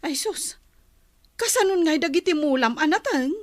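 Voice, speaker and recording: A woman's voice: high voice, lively intonation, normal speed, no echo. A woman with an Ilocano accent acting a nervous, evasive farmer's wife in a comic drama, speaking hesitantly and fretfully. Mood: fretful, questioning.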